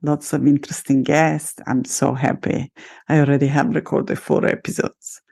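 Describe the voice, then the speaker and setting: Low, gravelly Italian-accented woman host. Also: flat delivery, moderate pace, a little mellow. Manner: upbeat, self-celebrating, talks straight to listeners. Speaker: podcast host and life coach. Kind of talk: solo podcast monologue.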